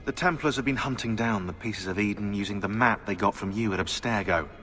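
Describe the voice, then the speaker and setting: An actor playing a sardonic, eccentric British conspiracy researcher turned assassin in a video game, chatty, opinionated and sarcastic. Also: male voice, on the low side, a little fast, fairly bright tone, faint music behind, lightly acted. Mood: matter-of-fact. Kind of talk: game cutscene dialogue.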